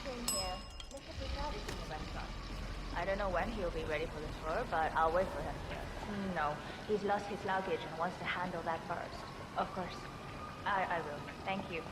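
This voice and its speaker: A woman plays a courteous young facility guide in her twenties, a touch flustered as she sticks to procedure. Her voice sits a little low, with a busy, noisy game ambience behind it. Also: normal speed, close to an everyday voice. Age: 20s. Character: young female contact, polite and procedural. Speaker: game character. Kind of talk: video game voice acting.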